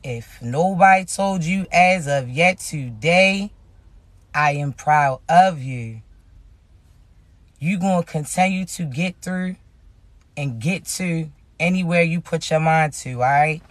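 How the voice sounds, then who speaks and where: A man with a loud, raspy voice giving a casual spiritual message to his viewers in an emphatic, slow, preaching style. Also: fairly high pitch, no echo.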